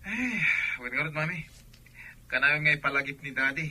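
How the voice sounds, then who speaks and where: A man's voice: fairly high pitch, expressive, normal speed, sharp tone, full character voice. A man with an Ilocano accent playing a busy, snobbish young son in a radio drama. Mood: breezy, dismissive.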